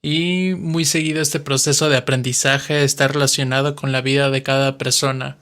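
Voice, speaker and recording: A man's voice: medium pitch, fairly bright tone. A man in his twenties from western Mexico with a Mexican Spanish accent, hosting a podcast and patiently explaining things with examples. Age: 20s. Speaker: podcast host, Spanish teacher. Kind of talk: slow explanatory podcast monologue.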